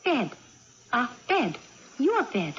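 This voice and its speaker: A woman's voice: on the high side, wildly swooping pitch, slow, fairly bright tone, heavily acted. An actress playing a bewildered, childlike young woman with amnesia in a 1980s radio drama, blunt and full of naive questions. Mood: suspicious, puzzled. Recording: muffled old radio broadcast, faint noise.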